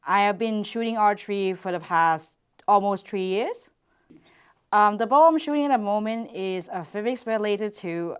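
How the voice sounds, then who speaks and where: A young woman, speaking at a middling pitch. She answers questions earnestly and reflectively, often pausing to find her words. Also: smooth. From Thailand. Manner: earnest and reflective, with hesitant pauses. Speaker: archer and university PhD student.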